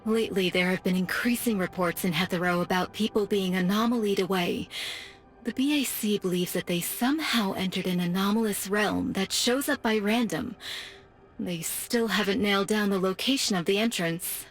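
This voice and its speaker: A woman's voice: mid-range voice, normal speed, soft background music, lightly acted. Actress as a serious, composed woman. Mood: concerned, composed. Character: serious, composed woman discussing missing-person cases. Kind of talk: anime-style video game voice acting.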